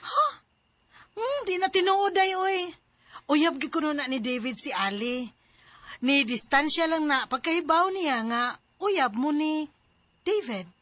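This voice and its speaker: A high, sharp young woman's voice as the gossipy best friend in a radio drama. She is frank and insistent. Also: animated, measured pace, dry recording, exaggerated voice. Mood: revealing gossip.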